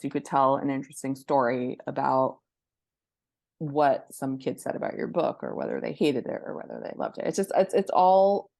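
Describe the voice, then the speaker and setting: A woman's voice: low-pitched, vocal fry, darkish tone, no room sound. A woman speaking as a guest expert in an interview, explaining things informally with practical examples. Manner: conversational, gives practical examples and step-by-step advice. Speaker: guest expert, book publishing professional and influencer. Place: indoors by a window, at a laptop.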